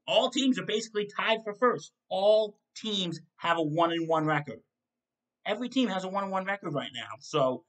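Bright-voiced young man rattling off football stats. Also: on the high side, poor audio. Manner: reads stat lines in quick lists, with restarts and self-corrections. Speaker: sports show host. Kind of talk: solo postgame recap to camera. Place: home kitchen, indoors. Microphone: desk mic in front of a webcam.

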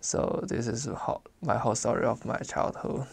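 A young man from China with a Chinese accent, speaking English as a second language in an interview about his childhood, halting and searching for words, laughing easily. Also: medium pitch, creaky, slightly rough. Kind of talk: casual life-story interview.

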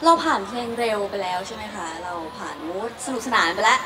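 A woman's voice: mid-range voice, lively intonation, quick pace. A young woman idol chatting on stage between songs and introducing the next number. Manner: bubbly emcee, introducing the next song. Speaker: pop idol member. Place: outdoor beach concert stage. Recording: distant PA, loud cheering crowd.

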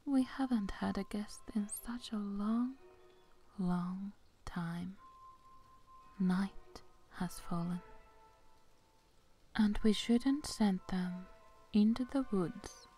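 Actress as eerily hospitable young gothic sister. Whispered, mellow, genteel, very unhurried ASMR horror delivery. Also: female voice, mid-range voice, slightly rough, faint music behind. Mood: courteous, eerie. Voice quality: soft, whispery. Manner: hushed, genteel, slow and deliberate.

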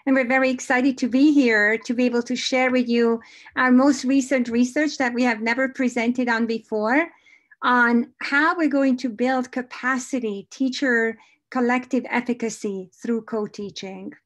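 An upbeat woman with a Hungarian accent, presenting research over a video call. Her voice sits fairly high and she talks with eager energy. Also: steady tone, moderate pace. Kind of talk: conference presentation.

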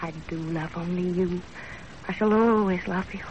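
An actress playing a dutiful young Japanese wife in a 1930s radio drama, plain-spoken and firm. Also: female voice, fairly low pitch, fairly even intonation, moderate pace, a little mellow, lo-fi sound, close to an everyday voice. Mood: firm, devoted. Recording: noisy 1930s radio broadcast.

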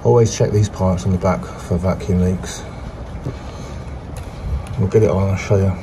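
A man's voice: low voice, steady tone, moderate pace. A man in his thirties giving casual, hands-on car repair commentary as an amateur mechanic, filmed on a phone in a garage. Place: small brick garage at an open car bonnet. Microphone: phone camera, handheld or propped nearby. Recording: noisy garage with engine running.

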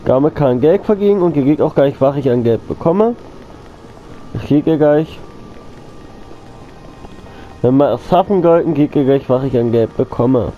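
Guy with a cold chatting over his game, coughs, kinda dull lo-fi mic, mid pitch. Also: moderate pace, pauses often, faint music behind. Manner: rambling, repeats himself, addresses viewers as friends. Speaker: gaming channel host. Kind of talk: casual gameplay commentary.

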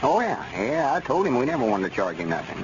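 A man playing a worried, stubborn old storekeeper with a rural Southern American accent in a 1930s radio comedy, speaking in a folksy, deliberate drawl. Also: medium pitch, big pitch swings, lightly acted. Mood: musing. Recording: muffled, noisy 1930s radio recording.

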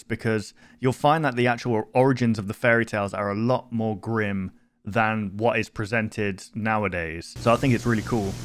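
A man in his twenties hosting a reaction video, chatting casually and rambling in a conversational way, close on a desk microphone. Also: mid-range voice. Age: 20s. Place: small home room, indoors. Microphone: close desk microphone with headphones.

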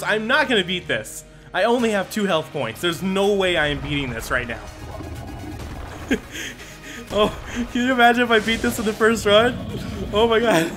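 A young man chats through his own gameplay in a slightly high voice, quick to swear and to get excited. He reads the on-screen lines aloud as he goes. Game music plays clearly underneath him. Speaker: gaming video creator. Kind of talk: casual gameplay commentary.

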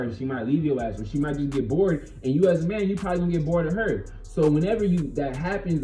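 A man's voice: mid-range voice, warm tone. A young American man giving a blunt, slangy, emphatic opinion monologue to camera, swearing freely in a casual way. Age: young adult. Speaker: video channel host. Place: small bedroom with bare walls. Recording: echoey small room.

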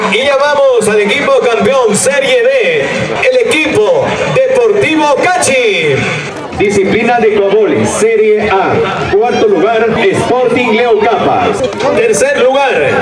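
A man emceeing an awards ceremony, calling out winners in an emphatic, drawn-out ceremonial way. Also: extremely high pitch. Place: outdoor stage at a sports field. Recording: echoey outdoor PA, distant, loud crowd.

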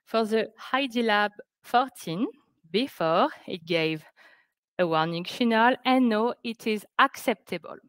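A woman presenting scientific results on a webinar, speaking careful, halting English. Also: on the high side, animated, no room sound. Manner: careful, halting non-native English delivery. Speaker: researcher presenting project results. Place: indoors on a video call.

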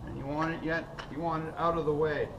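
Relaxed male hobbyist narrating his work outdoors, a little high-pitched, recorded on a distant camera mic over a lot of noise. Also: a little fast, gravelly, lo-fi sound. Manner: relaxed, thinking aloud while working. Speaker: kayak fishing vlogger. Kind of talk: casual how-to narration. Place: outdoors in a gravel storage yard.

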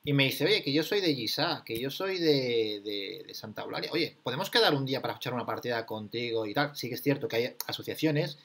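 A man from Catalonia hosting a casual interview, asking questions in a relaxed, conversational way. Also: medium pitch, a little fast, gravelly, few pauses. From Catalonia, Spain. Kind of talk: casual video-call interview.

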